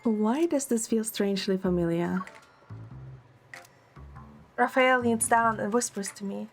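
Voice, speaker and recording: A woman's voice: medium pitch, unhurried, soft background music, lightly acted. A woman playing a wry, affectionate young heroine who narrates her own romance in an audio drama. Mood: narrating. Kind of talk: first-person narration and dialogue in audio drama.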